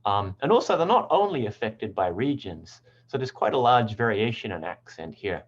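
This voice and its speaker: A low-voiced man from New Zealand, his accent mixed with American and British, teaches English pronunciation in a relaxed, explanatory way. His pitch moves a lot as he talks. The audio is a little dull and lo-fi. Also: moderate pace. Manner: explanatory, relaxed, with frequent ums. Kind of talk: online language lesson.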